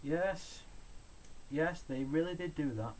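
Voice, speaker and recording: A man's voice: a little high, slow pace. A man reading a scripted countdown review in a sardonic, opinionated, sweary manner. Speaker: video reviewer, host of a countdown show. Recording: steady background hiss, amateur home recording.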